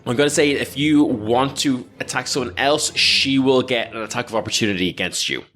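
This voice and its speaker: A man's voice: medium pitch, fairly bright tone. Man improvising as a tabletop game master. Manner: halting, filler-heavy descriptive improvising. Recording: background music under the voice.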